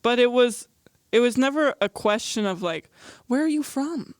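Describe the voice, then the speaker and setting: A woman from the United States hosting a podcast, talking in an animated way with lots of "like". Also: a little high, normal speed, no echo, studio quality. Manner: animated, says "like" often. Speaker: podcast host. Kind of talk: casual podcast chat.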